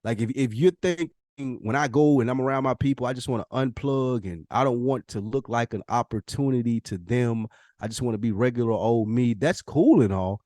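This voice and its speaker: A man co-hosting a podcast speaks in relaxed, loose banter, teasing his friend and slipping into acted-out quotes as he goes. His voice sits at an ordinary pitch for a man. The sound is dry and close to the mic, with no room around it. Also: fairly quick, no echo. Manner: joking, teasing, acts out quotes. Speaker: podcast co-host. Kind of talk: casual chat.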